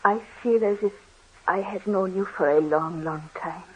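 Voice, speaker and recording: A woman's voice: medium pitch, measured pace, a little hiss or hum, natural voice. An actress playing a frail, grieving young French aristocrat woman in an old-time radio drama. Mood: anxious. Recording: dull lo-fi old radio recording.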